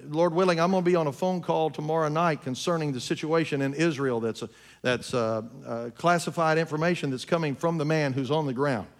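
Pastor, man, 50s, high voice, emphatic preacher who questions the congregation and drops to low asides. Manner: emphatic preaching, swinging between loud peaks and low asides; addresses congregation directly with questions. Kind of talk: sermon. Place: church sanctuary.

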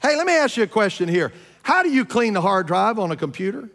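A fifty-year-old man preaching a sermon to his congregation, emphatic, swinging between loud peaks and quiet asides and asking them questions. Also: high voice, very animated, fast. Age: 50s. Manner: addresses congregation directly with questions. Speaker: pastor. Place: church sanctuary.

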